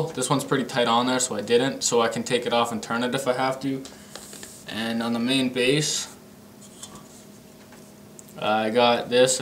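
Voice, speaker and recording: A man's voice: mid-range voice, fairly bright tone. Young man, casual, on a noisy recording. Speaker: hobbyist demonstrating a homemade gadget. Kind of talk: casual show-and-tell. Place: indoor room with a pool table. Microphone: camera mic an arm's length or more away. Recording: noisy amateur camera microphone.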